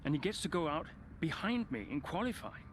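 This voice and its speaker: Brooding ageing racing driver, noisy background. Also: male voice, a little high, big pitch swings, fairly quick. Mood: aggrieved. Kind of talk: naturalistic video game cutscene acting. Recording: clear background vehicle and ambience noise.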